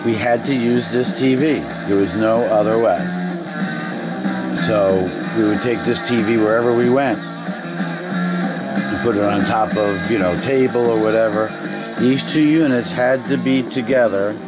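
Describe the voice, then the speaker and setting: A man's voice: on the low side, a little slow, loud music behind the voice, low-quality recording. A man casually demonstrating old equipment, thinking aloud in a tentative, unscripted way as he goes. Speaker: demonstrator of vintage video equipment.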